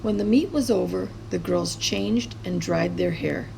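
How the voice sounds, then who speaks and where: Very creaky-voiced woman reading a children's story. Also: fairly low pitch, unhurried, mellow, slight background noise, rough recording. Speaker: storybook reader. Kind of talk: expressive children's story read-aloud.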